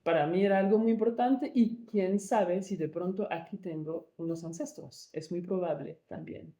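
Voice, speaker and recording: A woman from Congo, co-founder and director of an arts project, answering interview questions thoughtfully and pausing to search for words. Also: on the low side, warm tone, echoey room. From Democratic Republic of the Congo.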